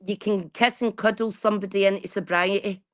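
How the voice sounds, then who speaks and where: A woman's voice: mid-range voice, steady tone, a little fast. A woman, talking bluntly and casually to her viewers and swearing freely. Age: 40s. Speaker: vlogger. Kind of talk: casual chat.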